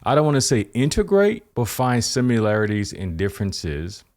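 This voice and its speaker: A man's voice: mid-range voice, big pitch swings, normal speed, a little mellow. A man hosting a podcast interview, rambling through his questions with many restarts and fillers. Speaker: podcast host. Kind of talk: casual interview.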